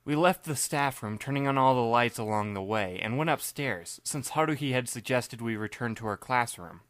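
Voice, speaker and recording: A man's voice: medium pitch, animated, normal speed, a bit bright, no echo. Dry, wry young male narrator. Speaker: narrator and lead reader. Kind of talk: first-person audiobook narration.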